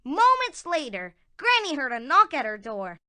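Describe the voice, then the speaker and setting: A woman's voice: high-pitched, huge pitch swings, moderate pace, very noisy. A woman narrating a scripted children's story in a dramatic storytelling manner.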